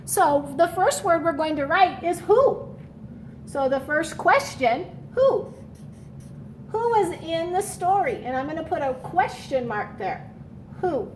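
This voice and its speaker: A woman teacher leading a children's read-aloud lesson, speaking in a deliberate, instructional way and repeating key words. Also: extremely high pitch, very animated, slight background noise, rough recording.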